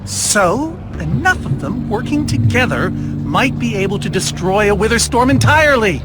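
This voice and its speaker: A man playing an eccentric, haughty older inventor in an animated video game, fussy, theatrical and exclamatory. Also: high voice, normal speed. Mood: musing. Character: eccentric, excitable older male inventor, haughty and easily exasperated. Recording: loud music behind the voice.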